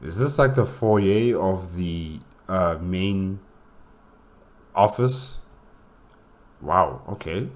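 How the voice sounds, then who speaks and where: Deep, slow-talking man musing aloud. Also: expressive, a little hiss or hum. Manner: hesitant, musing, halting. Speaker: reaction video host. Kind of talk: casual unscripted reaction commentary.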